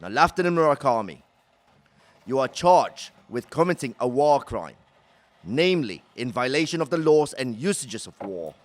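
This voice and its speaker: A man playing a stern British military court official, reading formally and in measured fashion in an audio drama. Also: mid-range voice, very animated, natural voice.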